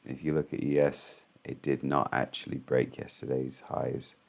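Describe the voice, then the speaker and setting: A hoarse man hosting a trading channel, walking through the morning's market in casual commentary, halting with frequent ums and restarts. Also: very deep voice, level tone, a little mellow.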